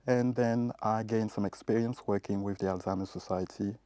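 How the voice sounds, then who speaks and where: A man's voice: fairly low pitch, steady tone, moderate pace, warm tone, dry recording. A man who left IT for psychology research, earnestly recounting his career change. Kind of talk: recorded career testimonial.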